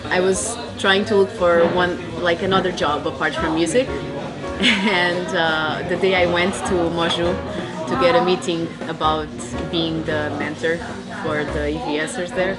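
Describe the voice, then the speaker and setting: A woman in her thirties from Portugal, with a notably low voice, tells her story at an easy pace with small fillers. Loud music plays underneath her words. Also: fairly even intonation, a little slow. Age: 30s. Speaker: volunteer supervisor. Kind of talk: interview answers.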